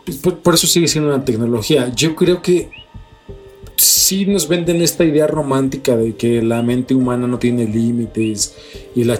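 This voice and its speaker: A man's voice: medium pitch, a little mellow. A Mexican man co-hosting a casual podcast debate, opinionated, slangy and freely swearing. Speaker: podcast co-host.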